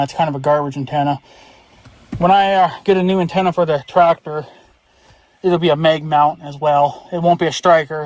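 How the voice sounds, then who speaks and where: Casual plain-spoken man, higher-pitched voice. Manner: plain-spoken, offhand swearing. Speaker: vlogger reviewing radio gear. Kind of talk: casual unscripted product review chat.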